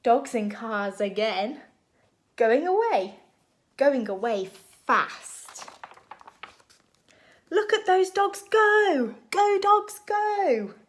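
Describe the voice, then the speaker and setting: Woman, children's storytime reader, very lively intonation, unhurried pace, expressive delivery. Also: high-pitched. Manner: expressive, animated, deliberate phrasing for small children. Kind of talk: read-aloud storytime for young children.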